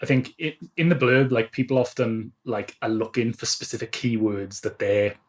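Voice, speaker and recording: A man speaking as a guest author in a casual interview, explaining things in a thoughtful, halting way. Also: medium pitch, steady tone.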